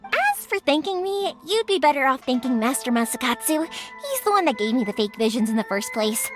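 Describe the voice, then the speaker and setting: A high, very lively young female shopkeeper from an animated game. She is cheerful, eager and breezily colloquial. Faint music sits behind her. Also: fairly quick. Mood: bashful, modest. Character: cheerful young female fireworks shop owner, eager and friendly. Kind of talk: animated video game voice acting.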